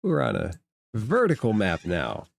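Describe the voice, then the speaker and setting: A man's voice: fairly low pitch, huge pitch swings, measured pace, creaky, no room sound. A man acting as game master, narrating a tabletop role-playing game and calling for dice rolls.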